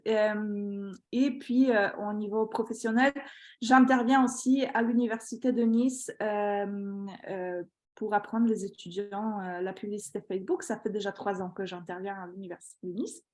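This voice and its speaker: A woman from Poland with a Polish accent, presenting over a video call as a guest expert, speaking with frequent hesitations and fillers. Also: mid-range voice. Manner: hesitant, many fillers, laughs lightly. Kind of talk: webinar presentation. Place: home.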